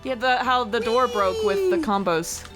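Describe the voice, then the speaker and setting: A woman co-hosting a let's play with casual, excitable, giggly gaming commentary. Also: fairly high pitch, a little slow, over music.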